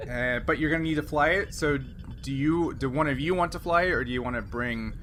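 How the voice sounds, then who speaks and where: A man's voice: fairly high pitch, fairly quick, fairly bright tone. A man acting as game master, talking casually and jokingly with players as he guides a tabletop game. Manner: jokey, laughs easily. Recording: noticeable background noise.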